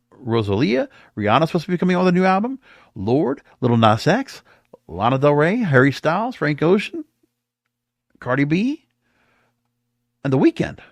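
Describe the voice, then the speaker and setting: Male podcast host, opinionated and rambling, very lively intonation, close dry sound. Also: mid-range voice, a little fast, dry recording. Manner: emphatic, rambling, repeats himself. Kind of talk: opinionated solo podcast commentary.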